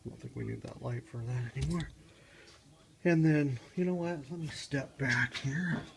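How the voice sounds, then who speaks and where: A man gives a casual, unscripted how-to walkthrough in a halting, rambling, matter-of-fact way, recorded on a handheld phone in a garage. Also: medium pitch, creaky. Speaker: hobbyist car DIY demonstrator. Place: home garage, in and around a car. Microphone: handheld phone, moved around while filming. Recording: distant mic, noisy handheld phone recording.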